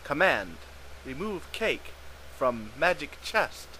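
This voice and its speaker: A man's voice: fairly high pitch, sing-song, slow, bright, forceful tone, a little hiss or hum, exaggerated voice, rough recording. A man voicing a terse commander who issues clipped orders in a dramatised web comic reading. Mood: curt, commanding. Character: terse commanding voice issuing text-game orders.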